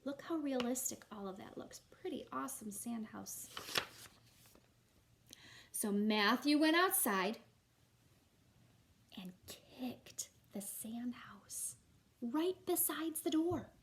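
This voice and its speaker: A woman's voice: a little high, lively intonation, slow pace, vocal fry, pauses often, a little hiss or hum. A woman teacher reading a children's story aloud expressively, with casual, encouraging asides.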